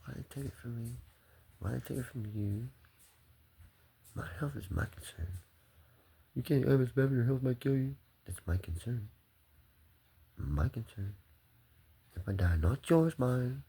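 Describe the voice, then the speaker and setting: Gravelly man rambling to camera. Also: mid-range voice, measured pace, long pauses, dark tone. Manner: rambling, swearing, jumps between topics.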